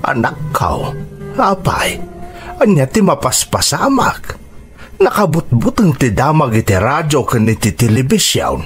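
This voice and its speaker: Worried elderly father, slow pace, rasping huskiness, Ilocano radio drama, music underneath. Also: medium pitch, big pitch swings. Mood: alarmed. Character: anxious, elderly father of a grown daughter.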